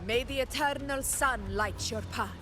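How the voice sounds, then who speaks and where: An actress playing a solemn, supportive noble ally in a video game, giving earnest, formal encouragement. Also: female voice, sits high, moderate pace, over music, natural voice. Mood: earnest, encouraging. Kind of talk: dramatic video game acting.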